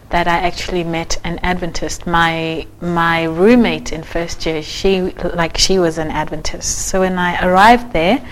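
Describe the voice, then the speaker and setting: A young woman from Zimbabwe speaks softly and unhurriedly, her voice sitting a little low. She tells her own story with frequent pauses, fillers and restarts, and a faint hiss of noise runs under the recording. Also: low-quality recording. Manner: soft-spoken, many fillers and restarts. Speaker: church member sharing her story. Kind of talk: personal testimony.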